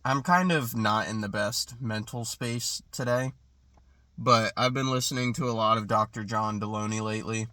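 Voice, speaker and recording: A man's voice: lowish voice, moderate pace, pushed and bright, no room sound. Congested young man rambling about a dream. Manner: hesitant, rambling, many fillers and restarts. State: congested from allergies, sniffling. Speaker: vlogger recounting a dream. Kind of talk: casual rambling monologue. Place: in a parked car.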